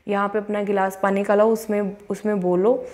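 A woman's voice: medium pitch, little pitch movement, a little mellow. Earnest young woman coaching to camera. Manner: earnest, repeats affirmations slowly. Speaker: law of attraction coach. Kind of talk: motivational talk to camera. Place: indoors, in front of a plain wall. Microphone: clip-on lapel mic.

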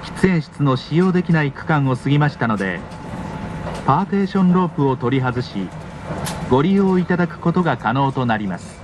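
Male train announcer, formal and polite, lively intonation, scripted delivery, clear train noise behind. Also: medium pitch. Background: train running noise.

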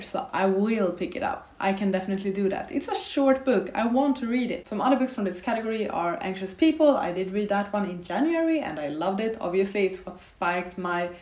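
A woman in her twenties talks to her camera in a half-empty new apartment, and the bare walls throw back a noticeable echo. A slight cold leaves her voice a little raspy. She rambles in a chatty, casual way, full of little fillers. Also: medium pitch, darkish tone. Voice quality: a bit raspy. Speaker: book vlogger. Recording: echoey empty room.

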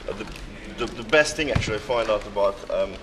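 A man's voice: fairly low pitch, normal speed, background noise. A man from Slovakia, a native Hungarian speaker, talking hesitantly with many fillers at a conference. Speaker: conference attendee. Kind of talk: casual conference testimonial. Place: large hotel hall at a conference. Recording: crowd chatter behind.

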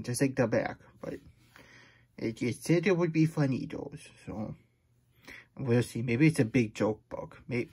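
Puppet story-time host: childlike, grumbly hedgehog played by a man, husky and mellow, stammering, fretful asides. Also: mid-range voice. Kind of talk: puppet read-aloud with chatty asides.

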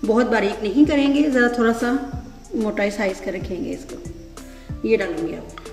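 A woman's voice: mid-range voice, animated, normal speed. A woman hosting her home cooking channel, explaining each step of a recipe in an instructive way and often checking in with the viewer. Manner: instructive, often ends with "theek hai". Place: home kitchen. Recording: echoey room with background music.

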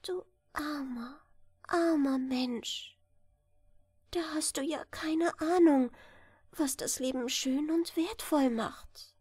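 A woman voicing a gentle young fairytale princess who speaks in a courteous, formal, soft-spoken manner for a storybook. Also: sits high, slow pace, dry recording, studio quality, pitched above the performer's own voice. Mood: sad, pitying.

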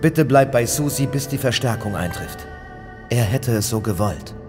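An actor playing a loyal, stoic bodyguard in a German audio drama, speaking in terse, subdued phrases of grief. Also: male voice, mid-range voice, lively intonation, normal speed, music in the background, close to an everyday voice. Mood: grave, subdued. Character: grieving, stoic male bodyguard, quiet and loyal. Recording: long hall reverb.

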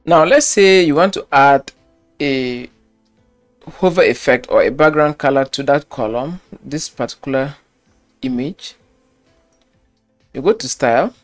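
A man's voice: medium pitch, measured pace, long pauses, faint music behind. A man walking through a website-building tutorial step by step, repeating key phrases as he clicks through the screen. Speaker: web design tutor. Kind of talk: screen-recorded software tutorial.

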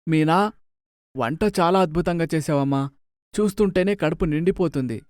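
A man voicing a gentle, affectionate older father-in-law in a family moral story. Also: on the high side, moderate pace, dry recording, studio quality, pitched above the performer's own voice, natural voice. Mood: praising. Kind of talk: moral-story cartoon dialogue.

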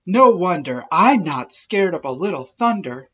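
A woman's voice: lowish voice, sing-song, a little slow, husky, dull audio, exaggerated voice. A woman voicing a small, hopeful farm pig in a singsong storybook manner. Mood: relieved.

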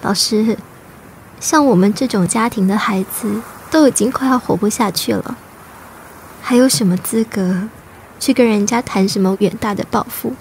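A woman's voice: mid-range voice, moderate pace, slight background noise, lightly acted, rough recording. A woman acting an earnest, wistful teenage Vietnamese immigrant schoolgirl in a radio drama, both in dialogue and narration. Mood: dejected. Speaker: voice actor and narrator. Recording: music behind the voice.

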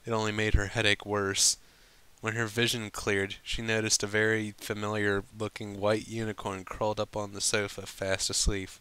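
A man reading fan fiction aloud as a storybook narrator, with some halting stumbles over the lines. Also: fairly low pitch, monotone, pushed and bright, clean tone, no echo, rough recording.

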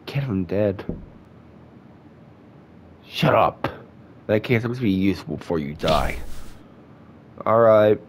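A man's voice: fairly low pitch, animated, a little slow, long pauses, a little hiss or hum. A man with a stuffed-up nose, casually playing a game and reading its dialogue aloud. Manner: reads character lines in his own voice, chatty asides, congested. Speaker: gaming YouTuber.

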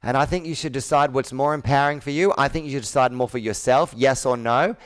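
A man's voice: medium pitch, fast, clean tone. A man in his thirties coaching sales through roleplay in a persuasive, direct manner. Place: indoor room with whiteboard, on a video call.

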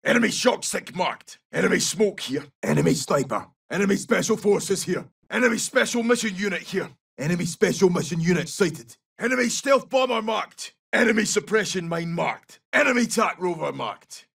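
An actor playing a gruff, brash Scottish special forces soldier in a military shooter game, giving clipped, urgent tactical callouts in a rough, gravelly voice. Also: male voice, high-pitched, lively intonation, normal speed, hardly any pauses, a bit bright, distant mic, exaggerated voice. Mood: alert, clipped.

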